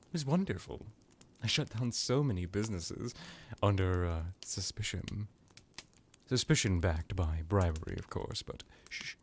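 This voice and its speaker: A man voicing an ancient, smug vampire crime lord in an ASMR roleplay, drawling with theatrical pauses and sarcastic condescension. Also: low-pitched, a little hiss or hum. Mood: mocking, sarcastic.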